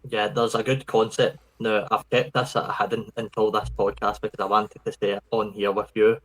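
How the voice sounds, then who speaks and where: A man's voice: on the low side, level tone, fairly quick, fairly bright tone, rough recording. A man with a Scottish accent hosting a casual podcast chat, friendly and rambling, often restarting his words. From Scotland. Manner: friendly, rambling, repeats words when starting. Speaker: podcast host.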